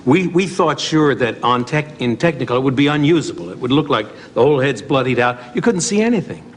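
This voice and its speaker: A man's voice: medium pitch, expressive, a little fast, slight background noise. A man telling casual anecdotes as a guest on a talk show.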